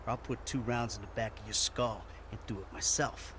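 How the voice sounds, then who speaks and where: A man's voice: lowish voice, steady tone, fairly quick, fairly bright tone, lightly acted. An actor playing a blunt, threatening middle-aged man who swears a lot, in a game cutscene. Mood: menacing. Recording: game audio with background noise.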